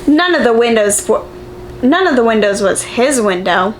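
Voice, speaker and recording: Lively, high-voiced woman reading to children. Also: normal speed, background noise. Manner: expressive, encouraging, simple preschool-teacher delivery with gasps and exclamations. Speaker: preschool teacher reading picture books aloud. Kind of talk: children's story read-aloud with questions to young viewers.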